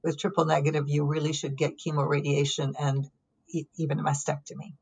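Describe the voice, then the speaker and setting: A middle-aged woman from Lebanon with a low voice tells her story as an interview guest. She speaks calmly and thoughtfully, pausing often with ums. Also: slightly rough, low-quality recording. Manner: calm, reflective, with frequent ums and pauses. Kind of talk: conversational storytelling.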